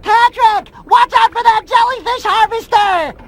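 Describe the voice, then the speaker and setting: An actor voicing an eager, naive young male sea sponge in a cartoon video game, earnest, excitable and childlike. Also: very high-pitched, unhurried. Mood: excited, urgent.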